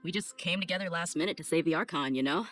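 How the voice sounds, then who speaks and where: An actress playing a confident, easygoing, tough mercenary in a video game, with a breezy, informal, streetwise manner. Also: female voice, fairly low pitch, fast talker, fairly bright tone, soft background music, lightly acted. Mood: brisk, satisfied. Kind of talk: casual video game dialogue acting.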